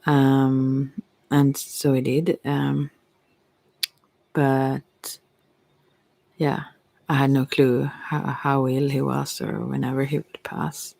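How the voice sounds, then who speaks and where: A middle-aged woman with a Swedish accent, speaking softly and intimately in an unscripted, reflective ASMR monologue that rambles and trails off. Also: rumbling low, level tone, slow, gravelly, long pauses, no echo, poor audio. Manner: rambling, trailing off mid-thought. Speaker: ASMR creator and tarot reader.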